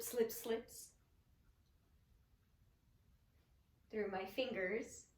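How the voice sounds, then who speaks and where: A woman's voice: fairly high pitch, bright, forceful tone. A young woman performing a dramatic monologue as a giggling, unstable, bitter teenage storyteller, theatrical and swinging in intensity. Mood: brooding, hurt. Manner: theatrical, breaking into unhinged laughter, swinging intensity. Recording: echoey room.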